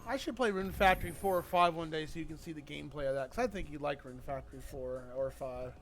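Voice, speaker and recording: A man's voice: a little high, fast talker, soft background music. A man chatting casually as a game streamer, rambling and teasing in a playful way over cutscenes.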